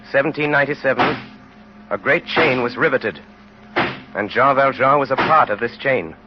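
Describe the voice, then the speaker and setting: An actor as the grave narrator of a tragic tale, solemn and measured in a dramatic, rhetorical radio style. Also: male voice, medium pitch, lightly acted. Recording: noisy, dull old radio recording.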